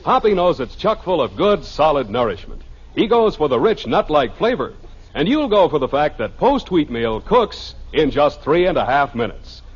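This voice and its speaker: An American man pitching a product in an old-time radio commercial style. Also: sing-song, moderate pace. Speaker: commercial announcer. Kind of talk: vintage radio cereal ad pitch. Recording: muffled, rough vintage radio recording.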